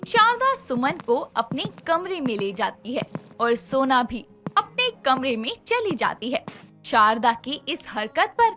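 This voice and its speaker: Slow, lively woman narrator. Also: sits high, faint music behind. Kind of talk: scripted storytelling narration.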